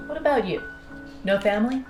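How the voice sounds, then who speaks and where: A woman's voice: on the high side, lively intonation, brisk, echoey room, over music, close to an everyday voice. A woman playing a cocky, sardonic pilot in an audio drama, with a dry, blunt, teasing delivery. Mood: guarded, dry. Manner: dry, sarcastic, blunt, foul-mouthed teasing. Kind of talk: naturalistic audio drama dialogue.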